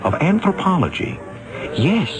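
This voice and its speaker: An actor playing a troubled, lonely scientist, hesitant and brooding, in a 1970s radio drama. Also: male voice, fairly high pitch, huge pitch swings, a little slow. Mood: searching, hesitant. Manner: hesitant, brooding, searching his memory. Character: troubled, lonely, self-doubting male scientist. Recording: vintage radio drama with music behind.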